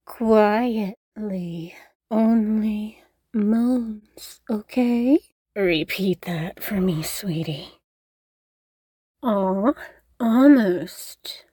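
A woman playing a doting, teasing, dominant girlfriend in an intimate roleplay audio, cooing and coaxing, sometimes slipping into soft whispers. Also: medium pitch, drawn-out words, breathy edge, dry recording, studio quality. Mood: gushing praise. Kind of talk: intimate scripted roleplay audio addressed to the listener.

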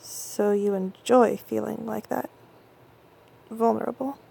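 A young woman playing an affectionate, intense girlfriend in an intimate audio roleplay, speaking close to the mic in soft whispers that break into stammers. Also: a little high, steady tone, slow pace, creaky, slight background noise, exaggerated voice. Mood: tender, conflicted.

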